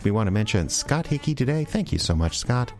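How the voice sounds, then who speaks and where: A man's voice: medium pitch, sing-song, a little fast. A man hosting a podcast, delivering a scripted introduction and thank-yous to listeners. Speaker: podcast host. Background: faint music.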